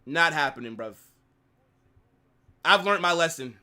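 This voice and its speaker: A man hosts a live football watch-along, talking casually and answering his chat. His voice sits high and sounds bright and sharp, swinging up and down as he reacts. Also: a little slow, clean tone. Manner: animated banter, reading chat aloud. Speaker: live stream host.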